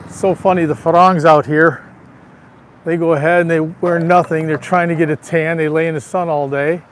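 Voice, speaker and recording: Male vlogger, raspy from allergies, chatty tour-guide manner, greets viewers by name; phone mic outdoors, light traffic hiss. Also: fairly high pitch, level tone, moderate pace. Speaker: livestream vlogger. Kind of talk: casual live walking tour. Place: outdoors on a town street. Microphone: handheld phone.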